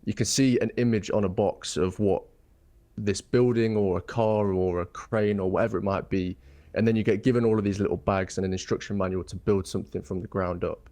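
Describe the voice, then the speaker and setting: A young man from England with a fairly low voice, speaking as a guest on an interview show. He talks in a loose, thoughtful way, full of ums and false starts as he circles back on his words. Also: fairly even intonation, a little fast, mellow. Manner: thoughtful, rambling, many ums and restarts. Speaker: guest architect. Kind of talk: casual interview chat.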